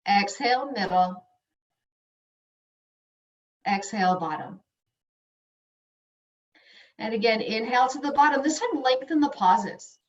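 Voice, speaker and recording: High female voice, yoga instructor, soothing guided meditation, pauses between phrases, muffled recording. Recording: dull, echoey room, distant mic.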